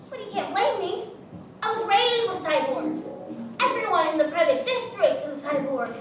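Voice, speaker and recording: A woman acting a naive, excitable young woman in a stage play, giggly and chatty, with theatrical delivery. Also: extremely high pitch. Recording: echoey noisy theater, distant mic.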